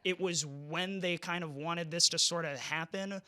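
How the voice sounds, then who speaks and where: A man's voice: a little high, fairly even intonation, a little slow, pushed and bright, clear, resonant tone. A young man, a game programmer, chatting casually on a convention panel, rambling with frequent restarts. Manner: rambling, stumbles and restarts often.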